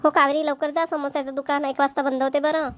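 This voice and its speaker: A woman's voice: high voice, little pitch movement, fast, natural voice. A woman voicing an inquisitive, chatty village neighbour who hands out advice in a cartoon comedy, with a Vidarbha Marathi accent. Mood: advising. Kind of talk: amateur cartoon comedy dialogue.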